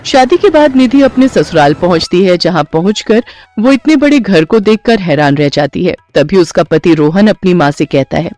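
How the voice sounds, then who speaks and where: A man's voice: high voice, expressive, moderate pace, darkish tone, dry recording. A man narrating a scripted moral story, with music playing behind him. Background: background music.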